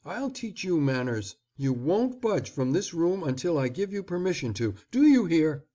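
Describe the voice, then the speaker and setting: A man's voice: on the high side, sing-song, moderate pace, mellow, voice pushed up. A man reading aloud, voicing an anxious, scolding upper-class mother. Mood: stern. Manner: pleading then stern and commanding.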